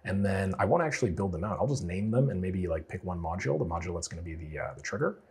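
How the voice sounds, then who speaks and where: Deep husky man talking fast. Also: animated, darkish tone. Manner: casual, explanatory, loose with fillers. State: getting over a cold. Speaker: automation freelancer teaching a client build. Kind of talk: screen-share tutorial walkthrough.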